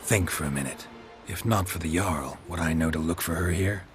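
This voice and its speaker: An actor playing a gruff, grizzled monster hunter in a video game, speaking in short, flat lines. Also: male voice, normal speed, over music, natural voice. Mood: dry. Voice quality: low, flat, terse delivery.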